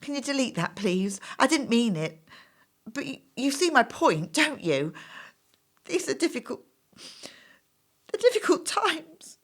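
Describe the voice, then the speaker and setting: Flustered, defensive woman job applicant played by an actress, very lively pitch. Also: a little slow, pauses often, exaggerated voice. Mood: overwhelmed, upset. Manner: flustered, hesitant, apologetic, self-justifying. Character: anxious, defensive female job applicant in her mid-thirties. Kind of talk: stage play dialogue.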